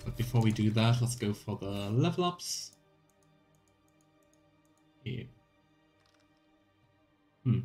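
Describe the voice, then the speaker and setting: A man's voice: lowish voice, animated, takes it slowly, pauses often, a little mellow. A man in his thirties giving casual, chatty let's play commentary with a wry, mock-complaining manner. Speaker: game streamer. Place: small room indoors. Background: game music.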